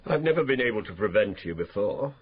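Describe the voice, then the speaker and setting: An actor playing a blunt, dogged, sardonic police inspector with brisk, matter-of-fact questioning in a radio drama. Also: male voice, on the low side, big pitch swings, breathy edge. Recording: slightly muffled radio drama sound.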